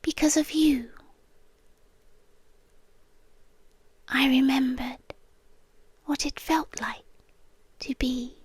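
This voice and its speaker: Woman playing a high-voiced, eerie British sea demon girlfriend. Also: steady tone, dry recording, heavily acted. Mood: wistful, tender. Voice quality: soft, breathy, often whispering. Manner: slow, coaxing, deliberate pauses. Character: possessive childlike female sea demon, tender and eerie. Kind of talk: ASMR roleplay.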